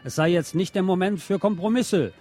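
A man's voice: high-pitched, moderate pace, warm tone, soft background music. A man presenting on the radio, reading press articles aloud.

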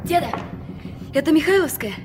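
A high, youthful female voice plays an impatient granddaughter full of worry. It is a Soviet radio drama, an old recording with clear noise behind the voice. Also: animated, normal speed. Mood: urgent, pleading. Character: anxious, impatient young granddaughter aboard a riverboat. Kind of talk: Soviet radio play acting. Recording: noisy old mono radio play recording.

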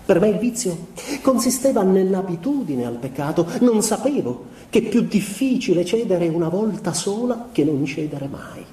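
An introspective young man weighed down by guilt confesses in a literary theatrical monologue. His voice is dark and mellow, his recitation measured and expressive. The sound is very roomy, with a light layer of background noise. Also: high voice. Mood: self-reproaching. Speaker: stage actor performing a monologue.

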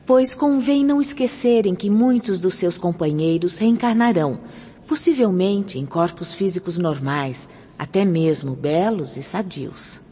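An actress playing a gentle but authoritative female spirit guide in a radio drama, formal and courteous as she instructs. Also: lowish voice, a little slow, lightly acted. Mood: courteous, instructive. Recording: muffled, reverberant hall, noisy radio-drama recording.

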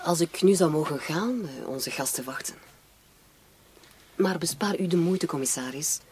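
An actress playing a bitter, defiant young woman in a radio play, speaking curtly and sarcastically with a husky voice. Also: low voice, moderate pace, darkish tone, a little hiss or hum, natural voice. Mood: defensive. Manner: curt, sarcastic.

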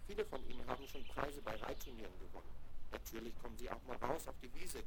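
A man's voice: medium pitch, expressive, quick pace, creaky. A grown man as a horse breeder, patient and explanatory. The delivery is children's radio-play acting. It comes off a noisy old record. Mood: patient, explaining. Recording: noisy 1970s vinyl rip.

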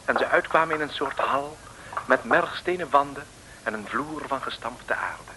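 An actor narrating a mid-century comic radio serial as a droll storyteller, with playful, suspenseful, rhythmic phrasing. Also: male voice, mid-range voice, moderate pace, close to an everyday voice. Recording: noisy, clicky old radio recording.

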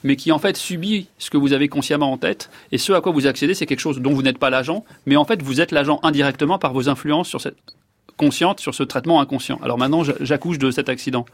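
A man's voice: fairly high pitch, quick pace. A man in his fifties, a neurologist guest, giving long reflective answers with many fillers and restarts. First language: French.

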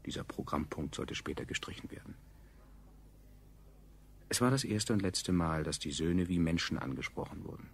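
An actor playing a grave chronicler, narrating a radio play in a measured, faintly ironic way. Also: male voice, sits low, lively intonation, breathy edge, full character voice. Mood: sober recounting. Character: grave male chronicler, a survivor recalling the state.

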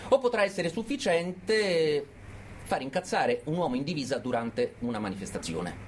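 A man plays an unctuous prison guide from a satirical radio play, welcoming guests with theatrical, sardonic courtesy. His pitch sits fairly high and swings widely. There is some noise in the recording. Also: expressive, moderate pace, lightly acted. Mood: mock-courteous, sardonic. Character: smug male prison host, mock-cheerful tour guide. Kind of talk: satirical stage-style radio theatre monologue.

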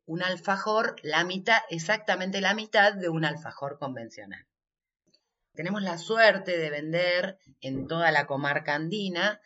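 Interviewee: Argentine woman coordinator, forceful bright tone, slow pace, spelling things out methodically. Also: deep voice, dull audio. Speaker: coordinator of an inclusive workshop being interviewed. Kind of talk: unscripted interview answers.

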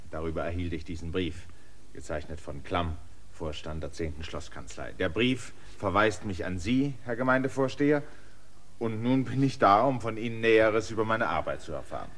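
An actor playing a stubborn land surveyor in a radio play, insistent and emphatic. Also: male voice, on the low side, a bit bright.

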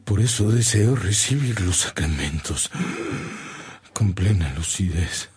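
Deep-voiced, weary old bishop. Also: male voice, lively intonation, slow pace, darkish tone, breathy edge, exaggerated voice. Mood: weary, urgent. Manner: solemn, prayerful, effortful delivery. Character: ailing old bishop, devout, gentle and weary. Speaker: actor. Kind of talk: radio drama acting.

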